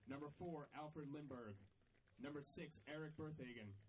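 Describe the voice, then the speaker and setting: A man making formal, measured stadium announcements, reading out names and numbers over a public address system. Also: a little high, slight background noise. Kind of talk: formal scripted lineup announcement. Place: outdoor soccer stadium, over loudspeakers. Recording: distant stadium PA pickup.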